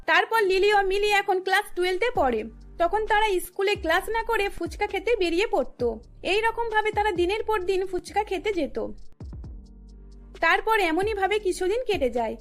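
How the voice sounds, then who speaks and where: A woman narrator reading a scripted cartoon story. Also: extremely high pitch.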